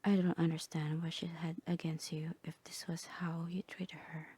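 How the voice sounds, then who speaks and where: A woman voicing a calm, composed therapist in a soft, breathy, half-whispered ASMR roleplay, measured and clinical. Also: low voice, dry recording. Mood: calm, reassuring. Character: calm, composed female therapist guiding a patient through roleplay.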